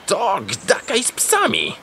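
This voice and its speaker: A man voicing a slow-witted, wary farmyard dog in a children's audio drama, with a hesitant, grumbling delivery. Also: high-pitched, huge pitch swings, creaky, sharp tone, a little hiss or hum, exaggerated voice. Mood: wary, bargaining.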